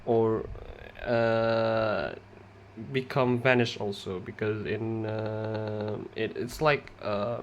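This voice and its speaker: A young man from Indonesia, a non-native English speaker with an Indonesian accent, giving a halting class presentation with many pauses and restarts. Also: fairly low pitch, steady tone, lo-fi sound, a little hiss or hum. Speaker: student presenter.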